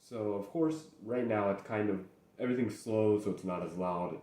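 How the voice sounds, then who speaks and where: A young man in casual solo chat as host, rambling and halting with many restarts, mentions speaking English natively. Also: fairly low pitch, dark tone. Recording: echoey room.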